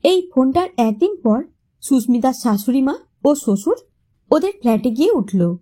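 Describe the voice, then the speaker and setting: High, mellow woman storyteller. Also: sing-song, moderate pace, breathy edge. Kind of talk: emotive scripted story narration.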